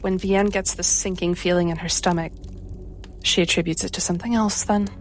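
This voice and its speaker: A woman's voice: fairly low pitch, fairly even intonation, brisk, a little hiss or hum. A woman talking casually as a player in a tabletop game.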